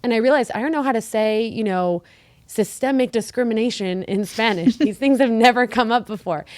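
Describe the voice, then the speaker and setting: A young woman from Mexico co-hosting a casual chat podcast, telling her stories in a chatty, animated way. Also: on the high side, fairly quick, no echo. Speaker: podcast co-host.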